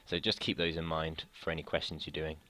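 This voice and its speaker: A young man from the United Kingdom explaining a physics lesson in a casual way, his voice slightly croaky from long talking, often hesitating and restarting sentences. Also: rumbling low, monotone, brisk, sharp tone, a little hiss or hum. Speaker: student tutor.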